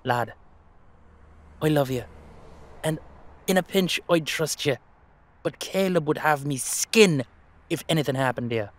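A man's voice: a little high, a little slow, pauses often, close to an everyday voice. A man playing a protective, brotherly dullahan with an Irish accent, reassuring and colloquial in an audio drama. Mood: protective, affectionate.

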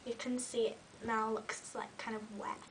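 A young woman in her twenties, recovering from a cold and a bit croaky, chatting casually through a hair tutorial in her bedroom. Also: on the high side, flat delivery, normal speed, distant mic, heavy background noise. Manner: chatty, talks while styling hair, many ums. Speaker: beauty vlogger. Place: small bedroom with fairy lights. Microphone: webcam a little way off.

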